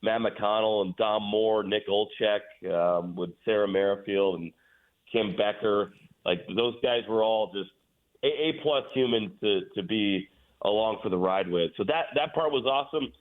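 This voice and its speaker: A man's voice: fairly low pitch, moderate pace. A man chatting casually as a guest sports announcer in an interview, over a phone line. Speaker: guest, radio play-by-play announcer for a pro hockey team. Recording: phone-like narrow band.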